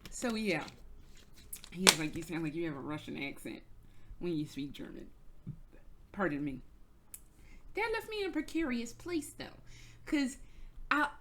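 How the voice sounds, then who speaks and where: A woman's voice: fairly low pitch, big pitch swings, unhurried, pauses often, a bit bright, a little hiss or hum. American woman, vlog storytelling, rambling, casual, profane, frequent restarts. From United States. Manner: rambling, swears freely, many restarts and "like"s. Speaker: vlogger. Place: indoors, travelling in Germany.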